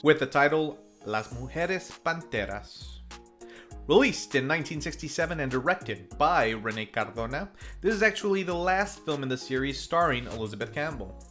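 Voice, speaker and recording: A man's voice: medium pitch, big pitch swings, normal speed, a bit bright. A man hosting a film review series, reading a scripted recap with playful, self-mocking commentary. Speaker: host and narrator of a retro lucha libre film review series. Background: music.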